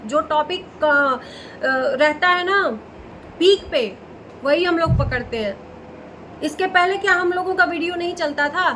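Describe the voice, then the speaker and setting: Female reaction-channel host, high voice, casual and opinionated, repeats phrases for emphasis, some background noise. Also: unhurried, pushed and bright, rough recording.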